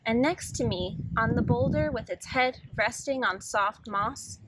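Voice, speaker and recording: A woman's voice: fairly high pitch, a little slow, heavy background noise, close to an everyday voice. A woman reading aloud as an eager, imaginative little boy in a children's picture book, earnest and dreamy.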